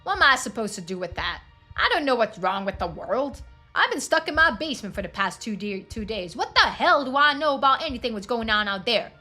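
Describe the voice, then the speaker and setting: A woman's voice: a little high, big pitch swings, a little fast, pushed and bright, soft background music, voice pushed up, exaggerated voice. A woman voicing a hot-tempered, threatening rural parent in a video game, with a folksy, foul-mouthed drawl. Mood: irritated. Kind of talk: video game character dialogue.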